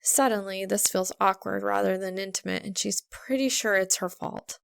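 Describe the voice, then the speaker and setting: Woman, story narrator, creaky voice, medium pitch, dry close sound, expressive scripted reading. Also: moderate pace, no room sound. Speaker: fan fiction audiobook reader.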